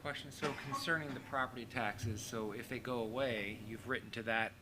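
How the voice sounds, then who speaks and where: A man's voice: mid-range voice, fairly even intonation, a little fast. Man asking a careful council question. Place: city council chamber. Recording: noisy meeting room.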